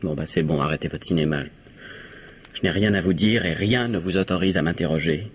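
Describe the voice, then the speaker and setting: A man's voice: sits low, brisk, close to an everyday voice. An actor playing a cynical, nervy rich man's son in his twenties, mocking and defiant, in a French mystery radio drama. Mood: insolent, defiant. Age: 20s. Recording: noisy vintage radio drama recording.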